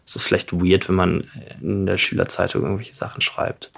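Male, twenties, low gravelly register, informal and self-reflective. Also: completely flat delivery, fairly quick, fairly bright tone. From Freising, Bavaria, Germany. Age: 20s. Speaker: podcast subject and co-host. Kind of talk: casual chat.

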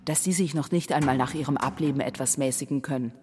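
An actress playing an indignant ghost of a daughter-in-law in a radio drama, formal and cutting. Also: female voice, extremely low pitch, fast talker. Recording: long hall reverb, faint music.

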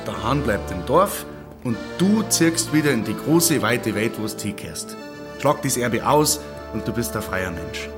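Man playing sardonic bavarian village mayor, stern, radio play, music clearly behind him. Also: mid-range voice, animated, a little slow, natural voice. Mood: insistent. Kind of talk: German radio drama acting.

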